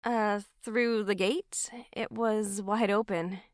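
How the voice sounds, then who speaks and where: A woman's voice: mid-range voice, fairly even intonation, slow pace, no echo, studio quality, natural voice. A woman playing a friendly, slightly flustered young working woman in an audio drama, polite and a little hesitant. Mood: curious.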